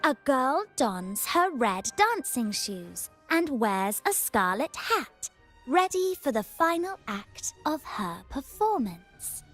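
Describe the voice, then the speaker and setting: A vain young woman from a video game, speaking in a high, swooping voice. She is theatrical and takes her time over each word, with music playing behind her. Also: big pitch swings, slow pace, a bit bright, full character voice. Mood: teasing, mysterious. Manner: theatrical, lilting, savoring words.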